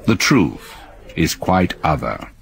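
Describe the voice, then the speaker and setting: Low husky older stepfather, grave and careful, lots of rise and fall. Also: sing-song, exaggerated voice. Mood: brooding. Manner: measured, grave storytelling voice. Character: older male stepfather haunted by a terrible memory. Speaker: narrator and stepfather. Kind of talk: radio drama acting.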